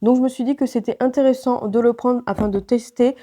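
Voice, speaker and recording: A woman's voice: a little high, fairly even intonation, brisk, mellow. A woman with a head cold and a stuffy, nasal voice, chatting casually to camera in a vlog, with many fillers and restarts. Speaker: vlogger.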